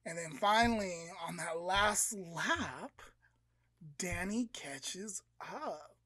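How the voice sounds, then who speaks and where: A man co-hosting a podcast, telling a long story in a casual, animated way, full of "like" and acting out voices. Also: high-pitched, extremely slow, a crowd in the background. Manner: animated, filler-heavy, acts out voices. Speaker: podcast co-host.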